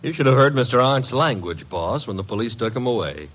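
Lively swinging intonation, mid-pitched tough detective's sidekick, breezy, sardonic, vintage radio acting. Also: male voice, a little fast, soft background music. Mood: breezy, amused. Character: wisecracking, breezy male detective's assistant, tough guy. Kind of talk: 1950s radio drama acting.

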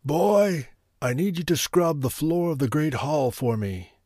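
A man's voice: a little high, lively intonation, measured pace, darkish tone, dry recording, studio quality, pitched above the performer's own voice. A man voicing a stern, commanding old male sorcerer in a storybook reading, in a raised voice unlike his own.

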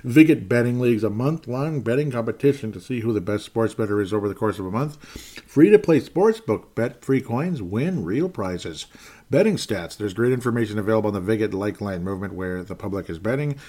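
A man's voice: lowish voice, lively intonation, brisk, warm tone. A man hosting a casual sports podcast, rambling and correcting himself with wry asides. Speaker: podcast host.

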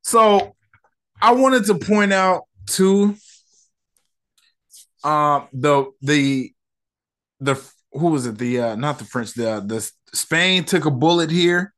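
A man hosting a solo podcast, reviewing an episode in a relaxed, chatty way with slang and lots of fillers. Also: a little high, slow, long pauses.

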